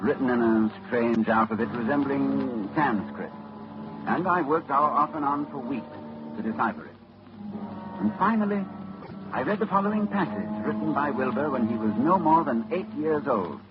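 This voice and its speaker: A man's voice: mid-range voice, animated, moderate pace, music in the background, natural voice. A man playing a grave, driven doctor who narrates his ordeal urgently in a 1940s radio drama. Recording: muffled old radio broadcast, hiss.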